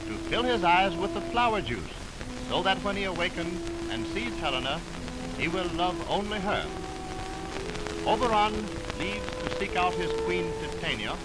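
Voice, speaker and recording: A man's voice: high-pitched, very animated, moderate pace, low-quality recording. A man narrating a 1920s radio drama in a theatrical storytelling manner, on an old aircheck. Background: prominent music.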